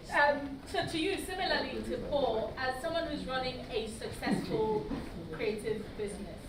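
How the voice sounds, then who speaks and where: A woman's voice: fairly high pitch, background noise. A woman moderating a panel discussion, asking polite questions with frequent "um"s. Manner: polite, with "um" fillers. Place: large conference hall. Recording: echoey conference hall from far off. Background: clear room ambience.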